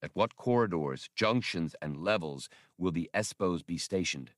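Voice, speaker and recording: A man voicing a grave, decisive rebel leader in an audiobook, with a measured, commanding manner. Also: low voice, lively intonation, no room sound, studio quality.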